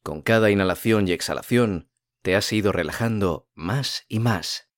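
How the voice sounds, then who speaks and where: A man with a low voice leads a guided meditation in a soothing, unhurried manner. He leaves long pauses between phrases. The sound is close and dry, with no room around it. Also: no echo. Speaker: podcast host and meditation guide.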